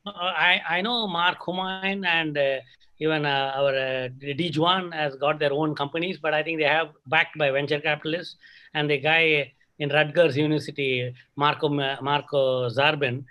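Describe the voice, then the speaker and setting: A man's voice: a little high, steady tone, normal speed, pushed and bright, low-quality recording. A man from India, a doctor, making rambling, run-on comments in an online webinar. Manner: rambling, loose run-on remarks. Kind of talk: online panel discussion. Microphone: computer mic on video call.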